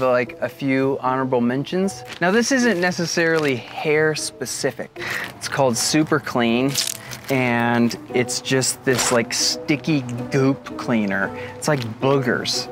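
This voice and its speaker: A man in his thirties casually talking to camera from inside his camper van, relaxed and jokey. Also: mid-range voice. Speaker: vlogger. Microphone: camera at close range.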